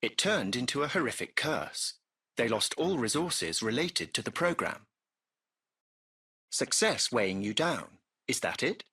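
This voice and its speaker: A man voicing a servile, impeccably polite artificial intelligence taxi driver in a video game, with formal, ornate, measured diction. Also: medium pitch, sing-song, gravelly, pushed and bright, breathy edge, heavily acted. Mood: smooth, formal. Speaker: AI driver and concierge.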